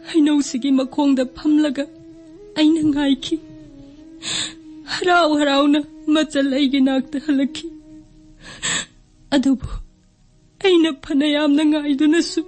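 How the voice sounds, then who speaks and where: A woman's voice: high voice, darkish tone, lightly acted. A woman acting in a radio drama as a bereaved younger sister, plaintive and mournful. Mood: wistful grief. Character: sorrowful bereaved younger sister mourning her elder brother. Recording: faint music behind the voice.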